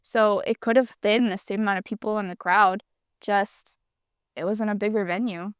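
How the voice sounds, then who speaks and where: A woman's voice: medium pitch, fairly even intonation, normal speed, clear, resonant tone, no room sound, clean studio sound. A woman from Massachusetts co-hosting a casual podcast, talking with frequent hedges and hesitations. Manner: hesitant, hedging. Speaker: podcast co-host. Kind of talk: casual chat.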